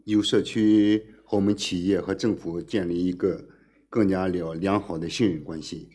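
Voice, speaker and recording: A man's voice: low voice, fairly even intonation, moderate pace, dark tone. A man, a native Mandarin speaker, giving business remarks in short segments for an interpreter. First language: Mandarin Chinese.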